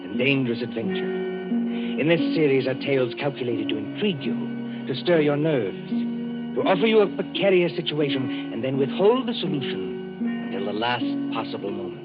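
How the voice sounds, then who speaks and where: A man introducing and narrating an old radio suspense drama in a measured, ominous manner. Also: medium pitch, big pitch swings. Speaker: announcer and narrator. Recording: dull old radio recording with loud music.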